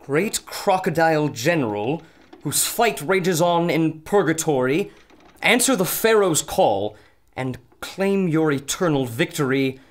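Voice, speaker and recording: An actor playing an excitable, learned doctor of antiquities in a pulp radio adventure, theatrical and scholarly with an anxious edge. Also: medium pitch, a little slow, close to an everyday voice. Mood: grave, scholarly.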